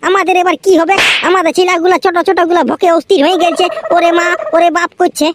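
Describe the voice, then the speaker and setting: A shrill, pitch-shifted village lad acted by a man in a comic cartoon, pushy and impatient. He fires out rustic demands quickly in a Purulia Bengali accent, on a clearly noisy track. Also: level tone. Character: pushy, impatient village youth, male exam student.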